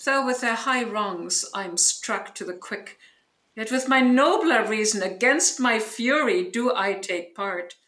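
A woman plays a commanding sorceress in a Shakespearean audio drama. Her bright, forceful voice moves slowly and grandly, in a roomy echo with faint noise. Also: fairly high pitch, animated. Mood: resolute, reflective. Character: commanding, vengeful yet merciful female sorceress. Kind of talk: Shakespearean radio play acting.

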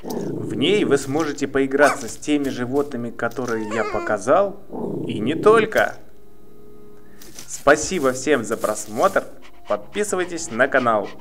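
A man's voice: medium pitch, animated, a little slow, noisy background. A man giving scripted promotional narration for children as a video host. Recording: music under the voice. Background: music.

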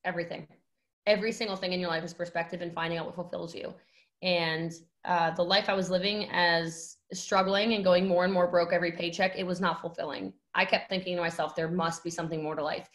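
A woman's voice: on the low side, steady tone, fast talker, fairly bright tone. A young woman telling a personal story in an emphatic, dramatic, slangy way, as a podcast guest on a video call from home. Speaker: podcast guest, network marketing entrepreneur.

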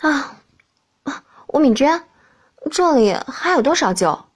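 A woman voicing a feisty, sulky young princess in an expressive audiobook style. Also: high-pitched, measured pace. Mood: bold. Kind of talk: audiobook character acting.

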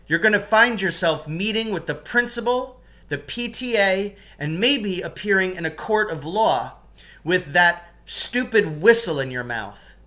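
Cocky schoolboy played by a man, raised pitch, bright and forceful, blunt, defiant, storybook reading. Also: heavily acted. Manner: blunt, emphatic, mock-formal threats.

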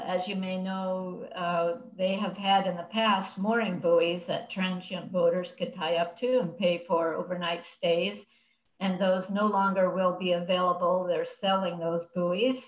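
A North American woman in her sixties giving informational updates on a panel over a video call. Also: fairly low pitch. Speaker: cruising guide editor giving updates. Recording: echoey room on video call.

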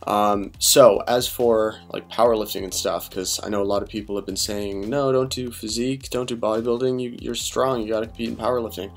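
A young man with a low voice talks softly and keeps it hushed, as if trying not to wake anyone. He rambles casually with plenty of fillers and restarts. Faint music runs under the close voice-over. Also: fairly even intonation. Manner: deliberately quiet and low-key. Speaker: fitness vlogger. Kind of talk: quiet late-night voice-over.

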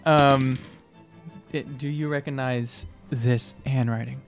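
Young male player, mid-range voice, blunt joking and halting casual delivery, faint music underneath. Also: mid-range voice, fairly even intonation. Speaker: tabletop game player. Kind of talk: casual role-play chat. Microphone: tabletop microphone, close.

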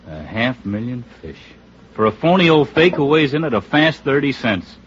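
A man's voice: medium pitch, very animated, normal speed, lightly acted. An actor playing a flippant, sarcastic show-business man in a 1950s radio drama, glib and mock-grandiose. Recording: dull, noisy old radio recording.

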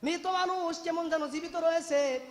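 Guy preaching really high and emotional, repeats lines for effect, big echoing hall sound. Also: steady tone, moderate pace. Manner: emotive, declamatory, repeats phrases for emphasis. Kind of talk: impassioned religious sermon, storytelling to a congregation. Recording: long hall reverb on the voice.

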